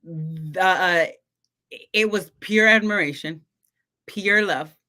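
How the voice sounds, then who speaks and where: A woman coach who stutters a lot on first sounds, talking in a relaxed podcast chat. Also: lowish voice, slow. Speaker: confidence coach and guest.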